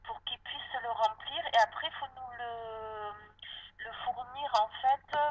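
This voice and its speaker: A woman's voice: a little high, steady tone. A woman working as a retirement home receptionist, asking businesslike questions over the telephone. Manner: businesslike, brisk form-filling questions. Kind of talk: phone call. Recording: muffled phone line with faint hiss.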